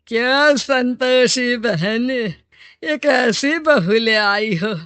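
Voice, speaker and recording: A woman voicing a teasing, gossipy male temple priest with a drawn-out manner in a storybook tale. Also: fairly high pitch, a bit bright, dry recording, full character voice. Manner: drawn-out, mocking.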